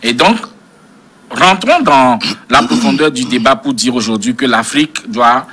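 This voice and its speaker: A man's voice: medium pitch, lively intonation, unhurried, bright, forceful tone, slightly rough. A man speaking as a panel analyst in a political debate, professorial and long-winded with learned references.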